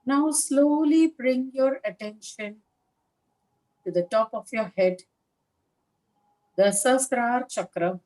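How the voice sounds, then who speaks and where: A woman leading a guided meditation as a teacher, speaking in a calm, measured manner with long pauses between short phrases. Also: a little high, poor audio. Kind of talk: guided meditation instruction, live session.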